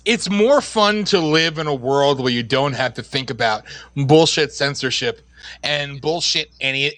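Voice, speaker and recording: Bright-voiced sarcastic man. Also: a little high, no echo. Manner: sarcastic, laughs often. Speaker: podcast co-host. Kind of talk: casual podcast rant.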